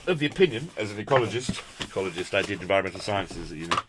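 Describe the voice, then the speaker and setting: A man's voice: deep voice, expressive, rapid-fire, background noise. A British man presenting a casual hands-on product review in a chatty, jokey, effusive way with quaint turns of phrase. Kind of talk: casual hands-on unboxing commentary.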